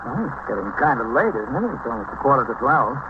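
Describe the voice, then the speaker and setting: A man acts out a police captain from 1950s radio drama, gruff yet fair. He speaks with brisk authority at a middle pitch. The old recording is noisy and muffled. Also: big pitch swings, close to an everyday voice. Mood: brisk, attentive.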